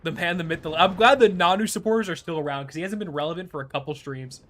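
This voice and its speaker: A young man streaming a game, chatting casually in a laid-back, chuckling way with a fairly high-pitched voice. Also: fast, a bit bright, a little hiss or hum. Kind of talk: casual live gameplay commentary.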